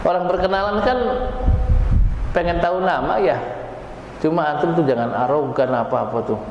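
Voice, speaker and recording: A man's voice: fairly high pitch, moderate pace. A man with a Javanese accent teaching an informal language class, colloquial and jokey, acting out short example dialogues. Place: large echoey room, teaching a live class. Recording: very echoey reverberant hall, clear background noise.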